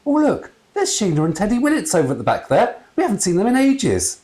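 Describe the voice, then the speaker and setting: A man voicing a sociable ghost mother in a storybook, brisk, chirpy and fussy. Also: high-pitched, wildly swooping pitch, dark tone, pitched above the performer's own voice. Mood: breezy, chatty.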